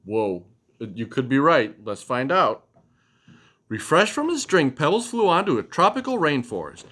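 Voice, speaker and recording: A man reading a children's picture book aloud as a storytelling father, expressive and explaining things to a child. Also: mid-range voice, normal speed.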